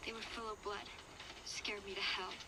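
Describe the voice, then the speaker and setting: A woman's voice: medium pitch, little pitch movement, moderate pace, very noisy, close to an everyday voice. An actress playing a young girl survivor who narrates her ordeal in an earnest, confessional way. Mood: frightened, confessional. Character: young girl survivor recounting danger, frightened but reflective. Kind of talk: first-person dramatic audio log narration.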